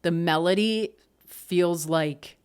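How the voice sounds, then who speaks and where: A woman casually talking through an album review, loose and expressive, swearing and laughing often. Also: lowish voice, takes it slowly, clear, resonant tone. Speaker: music reviewer.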